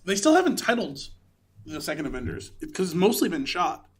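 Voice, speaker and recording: A man's voice: on the high side, very animated, a little fast, crowd noise. A man co-hosting a casual movie podcast, talking in an emphatic, opinionated, sweary way. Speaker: podcast co-host.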